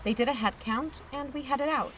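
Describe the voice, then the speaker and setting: A woman's voice: high-pitched, normal speed, very noisy, lightly acted. A woman playing a casual, wry young aunt who tells her own story in an audio drama. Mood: reflective, wry. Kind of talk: first-person audio drama narration and dialogue.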